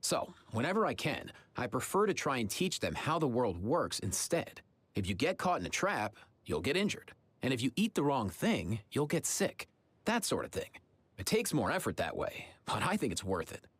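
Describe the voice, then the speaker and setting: An actor playing a friendly, easygoing young adventurer in a video game, with an upbeat, casual, chatty manner. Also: male voice, medium pitch, very animated, moderate pace, slightly rough. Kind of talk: video game character lines.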